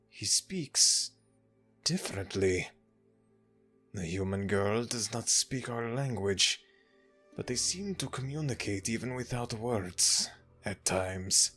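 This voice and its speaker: A man voicing a dutiful temple servant of a god in an audio roleplay, speaking in a hushed, reporting way. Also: lowish voice. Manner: hushed reporting, then breathless urgency.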